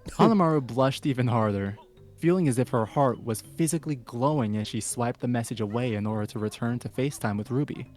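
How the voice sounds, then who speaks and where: A man's voice: medium pitch, a little fast, a little mellow. A man co-hosting a story reading show, moving between casual chat and narration. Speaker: story reader and co-host. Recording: music playing underneath. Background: music.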